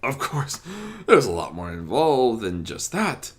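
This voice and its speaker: Guy doing a calm fatherly god of order in armor, soft spoken n courteous, audiobook style, pitch moves around a lot. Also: measured pace. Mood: reflective, fond. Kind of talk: fan fiction audiobook character reading.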